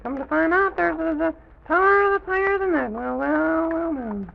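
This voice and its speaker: Creaky, very high-pitched little old gentleman. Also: lively intonation, normal speed, heavily acted. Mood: wondering. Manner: rambling, repetitive, exclaims "goodness me". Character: President Pip, quaint excitable little bearded old gentleman. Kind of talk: 1920s-30s children's radio serial acting. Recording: tinny, noisy old radio recording.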